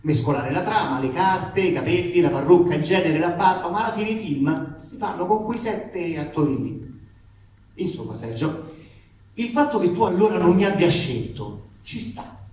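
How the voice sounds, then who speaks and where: In a very echoey space, picked up from a distance, a man reads a letter aloud as comic theatre. His voice sits a little high for a man. The delivery is emphatic and ironic, full of grievance and colloquial swearing. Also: animated, moderate pace, a little mellow. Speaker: actor reading aloud. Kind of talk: comic theatrical reading of a letter to a live audience. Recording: echoey room, distant mic, faint noise.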